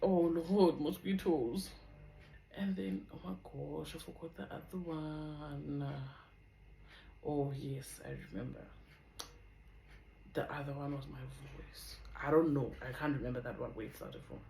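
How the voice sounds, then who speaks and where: A young woman with a South African accent and a deep voice, chatting in a rambling, casual way as she shares a personal testimony on her vlog. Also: fairly even intonation, measured pace, creaky, dark tone, roomy sound, slight background noise, rough recording. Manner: chatty, rambling, drifts off topic. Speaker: vlogger.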